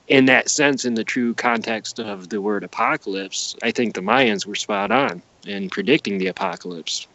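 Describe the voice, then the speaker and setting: A man's voice: lowish voice, vocal fry, a bit bright, rough recording. A man speaking as an interview guest in a casual podcast, explaining earnestly in a rambling way with repeated words.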